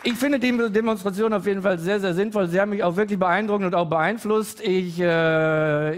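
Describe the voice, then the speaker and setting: A man in his forties doing a stand-up comedy routine with halting, hesitant delivery and deadpan timing, full of pauses and fillers. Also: high-pitched, a little hiss or hum. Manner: deadpan, growing excited and loud toward the end.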